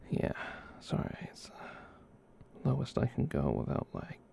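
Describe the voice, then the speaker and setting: A performer voicing a gentle, comforting giant catboy in a soft, halting ASMR roleplay whisper. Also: mid-range voice, slight background noise, full character voice. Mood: playful, welcoming. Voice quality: soft breathy whisper with creaky edge. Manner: soothing, halting rambles with cutesy verbal tic.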